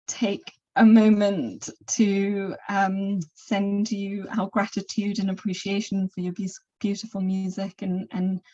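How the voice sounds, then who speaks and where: Soft, slow woman host on muffled audio. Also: mid-range voice. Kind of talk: closing thanks at online event.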